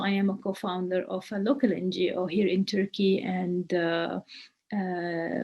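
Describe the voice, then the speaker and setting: Woman with a husky, gravelly voice. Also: medium pitch, darkish tone. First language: Arabic. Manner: halting, many fillers. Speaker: course mentor, archaeologist. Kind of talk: introductory online lecture.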